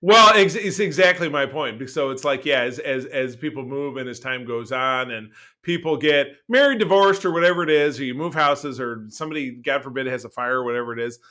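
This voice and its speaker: A man's voice: medium pitch, a little fast, hardly any pauses, bright, forceful tone. A middle-aged man co-hosting a podcast, chatting casually in a rambling, emphatic way. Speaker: podcast co-host. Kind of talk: casual conversational podcast chat.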